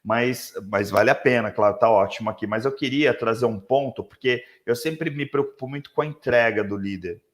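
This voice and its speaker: Hoarse Brazilian man, reflective live-stream speaker on a computer mic. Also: mid-range voice, fairly quick, hardly any pauses. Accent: Brazilian Portuguese. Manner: reflective, lots of tag questions. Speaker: leadership speaker. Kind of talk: live webinar talk.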